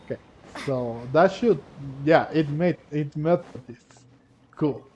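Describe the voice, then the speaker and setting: A man's voice: fairly high pitch, takes it slowly, a little mellow, dull audio, slight background noise. A man giving casual playthrough commentary on a video game, musing hesitantly as he thinks aloud. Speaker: gaming video creator, player. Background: game music.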